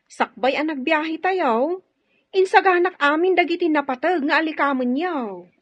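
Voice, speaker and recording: A woman's voice: high-pitched, normal speed, natural voice. A woman playing a polite, dutiful young household helper in a radio drama, speaking deferentially. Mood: obliging. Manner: deferential, obliging. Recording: dull lo-fi audio, music behind.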